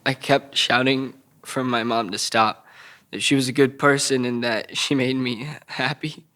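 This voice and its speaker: An actor playing a troubled, childlike young man recounting abuse in a halting, stammering way at a table read of an audio drama. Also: medium pitch, steady tone, pushed and bright. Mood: desperate, haunted.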